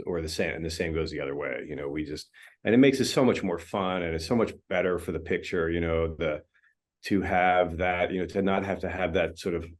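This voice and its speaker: A male film editor talking casually in an interview, full of fillers and restarts. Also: low voice. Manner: relaxed, chatty, many fillers and restarts. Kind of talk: interview conversation.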